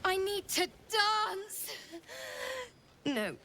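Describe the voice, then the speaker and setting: A giddy, tipsy woman at a revel in a fantasy game. Her voice is bright and swooping, drawn out extremely slowly with exaggerated drawl. Faint music plays behind her. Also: very high voice, very animated, a little hiss or hum, exaggerated voice. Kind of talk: video game character dialogue.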